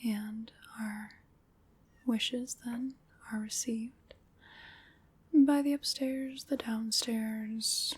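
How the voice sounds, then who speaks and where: A woman playing a gentle, solemn witch in an ASMR roleplay, whispering in a breathy, soothing, ceremonial way. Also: on the high side, steady tone, extremely slow, pauses often, exaggerated voice. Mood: gentle, reverent. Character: gentle, solemn witch leading a Samhain ritual.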